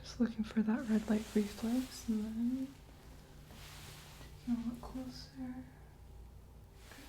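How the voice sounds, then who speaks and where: A soft-spoken woman in an ASMR medical roleplay, gently explaining exam steps as the examiner. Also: a little high, level tone, takes it slowly. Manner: gentle, step-by-step clinical explaining. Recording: roomy echo, distant mic, faint noise.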